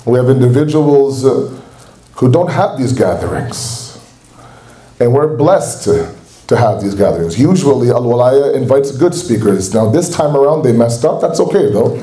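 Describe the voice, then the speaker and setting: Man, Canadian accent, earnest preaching tone, mellow voice, roomy hall echo. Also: mid-range voice, expressive, normal speed. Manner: earnest, exhorting. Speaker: speaker at a religious gathering. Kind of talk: sermon. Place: large hall at a community center. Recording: echoey hall.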